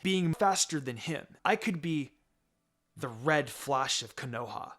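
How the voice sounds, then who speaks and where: A precocious little ninja girl, acted out by a man in an anime story reading. The voice is fairly high and swoops about expressively, earnest, confident and a bit cheeky. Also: lively intonation, a little slow, a bit bright. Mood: excited.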